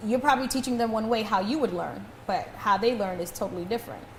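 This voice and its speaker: A woman's voice: medium pitch, fast, a little hiss or hum. Blunt teasing woman in an echoey salon. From Guyana. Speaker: podcast co-host. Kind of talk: casual chat. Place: hair salon.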